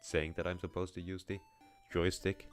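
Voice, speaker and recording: A man's voice: deep voice, flat delivery, normal speed, faint music behind. A man with a Finnish accent, mixed with American and a little Russian, giving casual, rambling gameplay commentary with wry asides and offhand swearing. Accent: Finnish, with American and slight Russian touches. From Finland. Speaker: let's play streamer.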